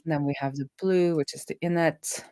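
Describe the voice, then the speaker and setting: A woman hosting a casual coding stream as a web developer, talking informally and thinking out loud as she works. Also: low voice, steady tone, fairly quick, no echo.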